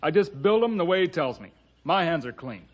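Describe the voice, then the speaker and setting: A wary, rough-spoken builder in a vintage radio western. His voice sits a little high and comes out halting and defensive. The recording is somewhat dull. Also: sing-song. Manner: folksy ungrammatical speech, halting, wary. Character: grudging, defensive working-class male builder. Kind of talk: old-time radio western acting.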